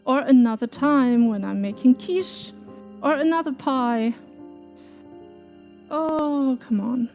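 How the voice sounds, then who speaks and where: German-accented woman, high voice, unhurried, chatty cooking stream, faint music behind. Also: a little mellow. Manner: chatty, laughs often, thanks viewers. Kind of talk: casual live-stream chat while baking. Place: home kitchen.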